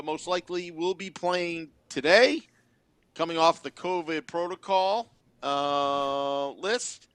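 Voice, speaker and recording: A man's voice: on the high side, bright, forceful tone, clean tone, no echo. A man hosting a live hockey game-day show, talking into a close studio microphone. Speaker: sports podcast host.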